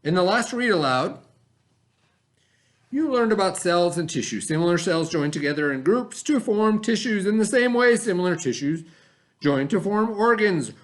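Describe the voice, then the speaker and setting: Male teacher, slightly high-pitched, instructional read-aloud for young pupils, with explanatory asides. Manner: instructional, pausing to pose and answer questions. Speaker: teacher reading a lesson aloud. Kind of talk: read-aloud science lesson for children with asides and questions.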